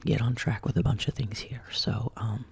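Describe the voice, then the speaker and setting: A woman who is sick and sounding lower than usual, talking softly into a binaural mic in her home studio. She slips in and out of breathy whispers. Her tone is husky and gravelly, with a lot of fry. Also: moderate pace. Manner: drifts between soft speech and whisper. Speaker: ASMR channel host. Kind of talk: soft-spoken ASMR chat. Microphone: binaural desk microphone.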